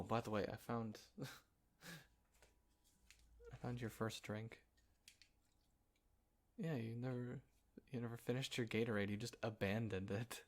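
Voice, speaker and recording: A man acting an easygoing, playful young guy in a casual roleplay audio, talking in a halting, chuckling, naturalistic way with a friend. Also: on the low side. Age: young adult.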